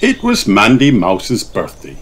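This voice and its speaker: Male children's book reader. Very animated, unhurried, expressive delivery; noisy recording. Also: on the low side. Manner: expressive, putting on character voices. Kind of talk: animated scripted read-aloud storytelling.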